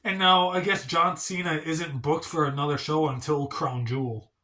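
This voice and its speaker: A man's voice: a little high, steady tone, normal speed, bright, forceful tone. A man hosting a casual wrestling review vlog, talking in a rambling, hedging way, congested and stuffy from a cold. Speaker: wrestling channel host.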